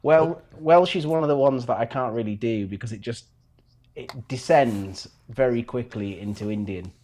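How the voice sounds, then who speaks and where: A man's voice: mid-range voice, moderate pace, darkish tone, slight background noise. A man co-hosting a casual chat, wry and self-deprecating. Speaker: podcast co-host.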